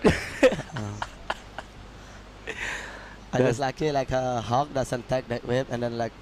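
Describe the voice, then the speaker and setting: A man being interviewed as a surfer, speaking shy, halting English with fillers. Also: medium pitch, fairly even intonation, a little mellow, a little hiss or hum.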